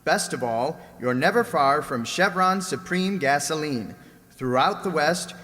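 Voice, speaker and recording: A man's voice: a little high, a bit bright. A man announcing a recreated old-time radio show in a scripted style. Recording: echoey hall with noticeable noise.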